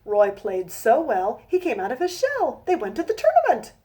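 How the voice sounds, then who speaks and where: A woman author reading her children's book aloud in a gentle sing-song manner, with chatty asides to young listeners. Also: on the high side, huge pitch swings, moderate pace.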